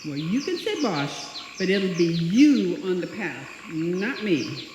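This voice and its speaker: A woman's voice: on the low side, big pitch swings, takes it slowly, a little mellow. A woman playing a timid, anxious small-town woman in a live old-time radio play, fretful and hesitant. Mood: fearful. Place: live stage performance in a hall. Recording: echoey hall, dull, faint noise.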